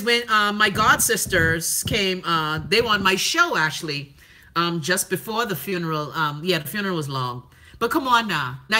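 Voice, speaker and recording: A Bahamian woman hosting a livestream, chatting casually and sassily while reading out viewer comments, with plenty of profanity. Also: mid-range voice. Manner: sassy, profane, animated storytelling. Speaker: livestream host.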